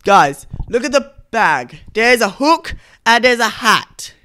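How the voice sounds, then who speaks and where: Young adult game YouTuber, casual reaction commentary, sharp bright tone, mid-range pitch. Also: big pitch swings, slow pace, faint music behind. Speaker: YouTuber reviewing game cutscenes.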